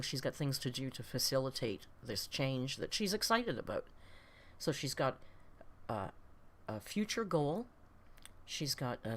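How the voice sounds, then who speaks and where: A woman's voice: sits low, fairly bright tone. A woman in her late fifties hosting a casual live stream, chatting with viewers in a rambling, self-deprecating, blunt way with mild swearing. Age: late 50s. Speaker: host of a reaction live stream.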